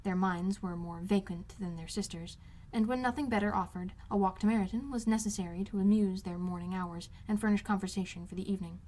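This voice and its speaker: Woman narrating a classic novel, mid-pitched. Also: a little fast, hardly any pauses, a little mellow. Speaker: amateur audiobook reader. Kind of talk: scripted literary narration.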